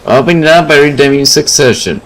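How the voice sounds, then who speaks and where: A man's voice: fairly high pitch, expressive, fast talker, a bit bright, low-quality recording. A man reading a visual novel's narration and dialogue aloud as a let's player, with casual asides and game music behind.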